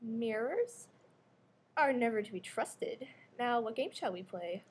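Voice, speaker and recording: A woman's voice: on the high side, animated, measured pace. A woman playing a sinister, possessive mother in readers theater, archly formal and sugary as she coaxes and scolds. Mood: sweetly coaxing. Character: sinister other mother, sweetly possessive and controlling. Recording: faint background noise, live ambience.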